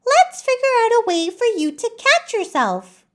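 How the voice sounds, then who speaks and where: A woman's voice: huge pitch swings, unhurried, fairly bright tone, full character voice. A woman voicing an encouraging, helpful leaf friend in a children's storybook. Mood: eager. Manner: high-pitched, encouraging.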